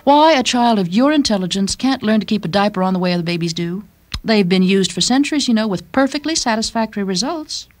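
A woman's voice: medium pitch, lively intonation, quick pace, close to an everyday voice. An actress playing a doting, chatty young mother in a radio drama, confiding and gushing expressively. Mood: fond, teasing.

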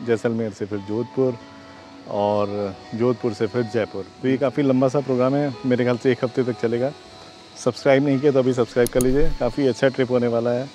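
A man's voice: medium pitch, dark tone. A man in his forties talking to the camera on a travel vlog, chatty and giving tips, recorded outdoors on a lapel mic. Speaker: travel vlogger. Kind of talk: casual travel vlog narration. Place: outdoors on city streets and a rooftop. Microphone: clip-on lapel mic.

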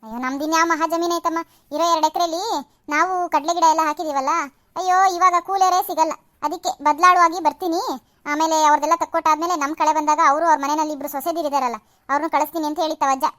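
A woman's voice: sits high, fairly even intonation, quick pace, a bit bright. Woman voicing a chatty village woman. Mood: friendly. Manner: rustic village speech.

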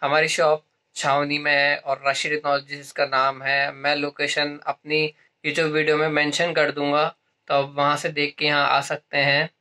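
Young male shop-tour vlogger, cheerful, phone at arm's length, bright tone. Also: a little high, monotone, moderate pace. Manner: upbeat, mixes English into Hindi. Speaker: vlogger showing his shop. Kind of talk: casual vlog chat. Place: inside a small electronics shop.

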